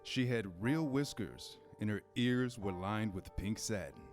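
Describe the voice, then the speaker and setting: Male narrator for a children's tale, lower register, measured scripted reading, soft music bed. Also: animated. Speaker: storybook narrator in a reader's theater. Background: instrumental music.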